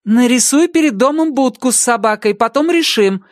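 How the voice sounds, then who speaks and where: A woman's voice: fairly high pitch, lively intonation, moderate pace, darkish tone, no echo, studio quality, voice pushed up, lightly acted. A woman voicing a wary, firm mother who doubts what she is told, in an audiobook reading. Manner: skeptical, firm.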